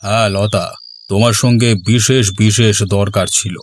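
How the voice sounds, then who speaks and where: A man voicing a stern, greedy village headman in a cartoon, with a measured, authoritative and cold manner. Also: lowish voice, fairly even intonation, a little hiss or hum, lightly acted. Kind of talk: Bengali cartoon voice acting. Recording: background music under the voice.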